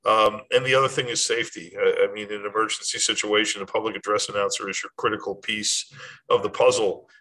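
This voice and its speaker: Older man, lowish pitch, bright forceful tone, plainspoken, informal instructional host, direct address. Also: fairly even intonation, fast, rough recording. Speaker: host of a short athletic administration advice series. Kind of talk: informal talk to camera, instructional.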